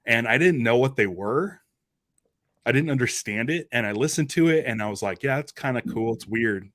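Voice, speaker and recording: A man's voice: mid-range voice, animated, normal speed, fairly bright tone. A man chatting informally as a podcast panelist, talking in a loose, conversational way. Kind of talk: casual chat about a radio drama.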